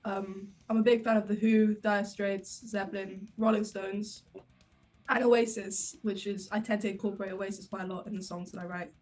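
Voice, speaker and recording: A woman in a band, a keyboard player, answering interview questions in a soft, somewhat hesitant way. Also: medium pitch, level tone, moderate pace. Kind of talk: casual interview chat.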